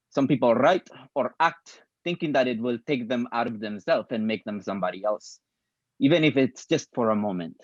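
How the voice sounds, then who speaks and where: Guy reading as a calm acting teacher type, slow and deliberate, dry muffled sound. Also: mid-range voice, no room sound. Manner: measured, deliberate, lecturing. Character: calm older male acting teacher, probing mentor. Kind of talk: play table read.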